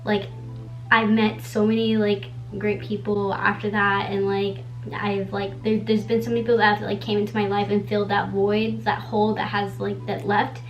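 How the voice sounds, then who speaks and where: A woman's voice: mid-range voice, little pitch movement, normal speed, highs cut off, faint music behind. A young woman in her twenties, a native Spanish speaker, chatting casually at home while doing her makeup, rambling with lots of "like" and the odd swear word. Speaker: beauty vlogger.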